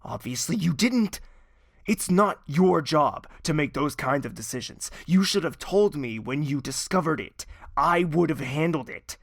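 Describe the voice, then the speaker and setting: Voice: medium pitch, lively intonation, measured pace, vocal fry, no echo, studio quality. An actor playing a stern royal ruler in a fantasy audio drama, commanding and curt, expecting obedience. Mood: incredulous, accusing. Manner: authoritative, curt, imperious.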